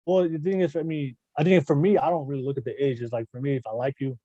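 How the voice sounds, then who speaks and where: A man hosts a casual podcast conversation, his talk racing ahead and stopping to restart. His voice is mellow and low in brightness. The sound is dry and a little dull. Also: fairly even intonation, dry recording. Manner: rapid, restarts and hedges a lot. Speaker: podcast host. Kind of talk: casual chat.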